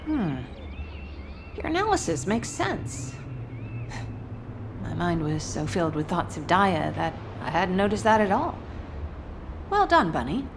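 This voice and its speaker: An actress playing a serious, deliberate female soldier in a video game, driven and grieving. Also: lowish voice, sing-song. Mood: thoughtful. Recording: clear background noise and ambience.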